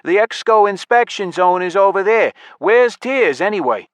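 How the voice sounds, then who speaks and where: A man voicing a tall, suspicious elf guard who barks brisk questions and commands in a fantasy audiobook. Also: fairly high pitch, no echo, pitched above the performer's own voice, lightly acted.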